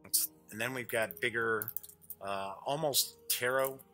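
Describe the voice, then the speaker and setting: A man's voice: medium pitch, measured pace, bright, forceful tone, soft background music. A man hosting a game show, giving casual, off-the-cuff unboxing commentary in a chatty, jokey way, often muttering asides to himself. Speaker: host of a tabletop game review show.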